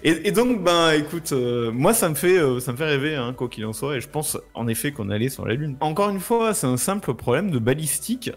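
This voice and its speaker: A man's voice: on the high side, lively intonation, fairly quick, few pauses. A man explaining things informally in casual chat, using slangy wording.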